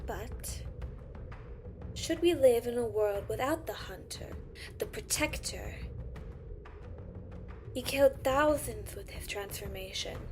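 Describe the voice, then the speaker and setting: Woman playing a slow, high-voiced haughty siren empress. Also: lively intonation, faint music behind. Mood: coolly weighing. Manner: regal, theatrical, deliberate. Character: haughty, deliberating siren empress guarding her people. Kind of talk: fantasy audio drama acting.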